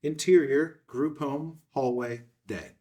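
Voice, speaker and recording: A man's voice: mid-range voice, slow, darkish tone, far from the mic. A man narrating scene headings and stage directions from a screenplay in a scripted read.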